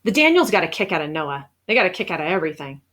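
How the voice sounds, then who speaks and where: Expressive woman reading a novel aloud. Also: on the low side, very fast. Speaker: storybook reader. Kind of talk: read-aloud of a children's novel, scripted narration.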